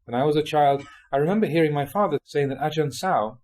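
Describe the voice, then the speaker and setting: A man reading translated Buddhist talks aloud as a monk, in an expressive storytelling delivery. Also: on the high side, fast talker.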